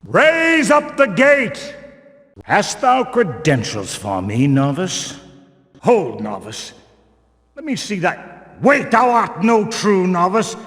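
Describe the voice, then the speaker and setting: A zealous, pious male temple guard for a fantasy video game. He declaims in archaic, righteous phrases with big swings of pitch. The delivery is slow and weighty, drenched in long hall reverb. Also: huge pitch swings, a bit bright, heavily acted. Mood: alarmed. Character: stern, zealous male religious warrior guard of a builder cult.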